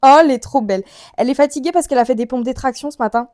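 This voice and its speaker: A young woman talks to her vlog viewers in a fairly high voice. She rambles casually and uses plenty of slang, and the sound is dry with little room echo. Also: rapid-fire, mellow. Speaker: vlogger.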